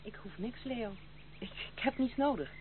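An actress as a wilful young woman in a period radio play. She is proud, firm and guarded. The recording is thin and telephone-like, with clear hiss. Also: fairly high pitch, lively intonation, normal speed, lightly acted. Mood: wistful, startled. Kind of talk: period radio drama acting. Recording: muffled, hissy old radio play.

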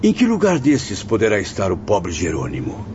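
A man as a grave, expressive spirit. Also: lowish voice, moderate pace, background noise. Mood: perplexed. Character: troubled, questioning male spirit narrating his memories. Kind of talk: radio drama narration and dialogue. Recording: dull lo-fi audio over music.

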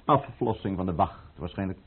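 An actor playing a scheming count in a radio drama, formal, courtly and outwardly deferential. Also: male voice, sits low, expressive, moderate pace, husky. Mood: calm, soothing. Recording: muffled, phone-like old radio play recording.

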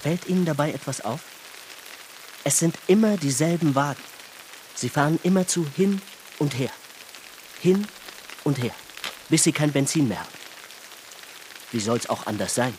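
A man's voice: a little high, animated, normal speed, long pauses, a little mellow, slight background noise, natural voice, rough recording. Pompous, smug businessman played by an actor. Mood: mocking, lecturing. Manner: pompous, sardonic, lecturing. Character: smug, talkative bourgeois Berlin businessman, conservative and self-satisfied. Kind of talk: radio drama acting.

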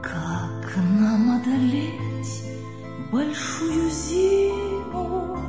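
Female singer for lyrical poetic song, higher voice, extremely unhurried, over prominent music. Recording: echoey, with loud music. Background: loud musical accompaniment.

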